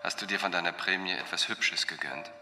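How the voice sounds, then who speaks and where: An actor playing a cold, manipulative doctor in a crime radio drama, speaking in a measured, condescending, commanding way. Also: male voice, low-pitched, fairly even intonation, lightly acted. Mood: controlled, probing. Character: controlling male doctor, cold manipulative cult-like mastermind. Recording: music playing behind the voice.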